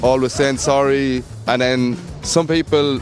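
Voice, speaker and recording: Male interviewee, medium pitch, casual remarks, clear drum-led music behind. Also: steady tone, unhurried. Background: music with drums.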